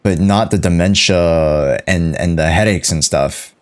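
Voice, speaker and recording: A man's voice: low-pitched, measured pace. A man doing casual live reaction commentary as a video game streamer, talking to his chat in slangy, offhand phrases.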